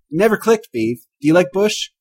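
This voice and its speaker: A man co-hosting a podcast in casual chat, animated and jumping between loud and quiet. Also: sits high, slightly rough. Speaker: podcast co-host.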